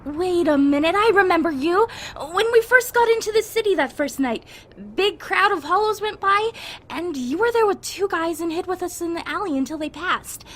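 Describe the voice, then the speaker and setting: A woman's voice: extremely high pitch, animated, moderate pace. A woman playing an earnest young survivor in an audio drama, pleading and firm as she keeps the peace. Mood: surprised recognition. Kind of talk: amateur audio drama acting. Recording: faint background noise.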